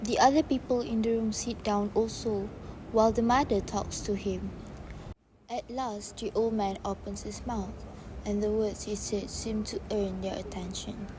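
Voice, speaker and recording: A woman's voice: mid-range voice, fairly even intonation, moderate pace. Woman narrating a play, noisy recording. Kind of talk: scripted stage play narration. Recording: clearly noisy background.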